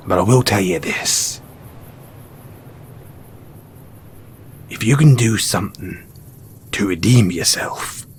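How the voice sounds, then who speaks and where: A man's voice: on the low side, a little hiss or hum, close to an everyday voice. A man playing a mysterious, wry and cynical wasteland merchant in a post-apocalyptic audio roleplay, speaking colloquially and knowingly straight to the listener. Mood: reflective, frank. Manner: unhurried, colloquial, knowing, with pointed pauses.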